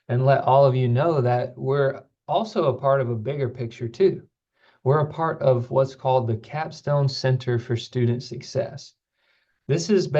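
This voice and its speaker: Mellow man presenting, slightly dull call audio. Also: mid-range voice. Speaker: student program staff presenter. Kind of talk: informational presentation to families. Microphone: online call microphone.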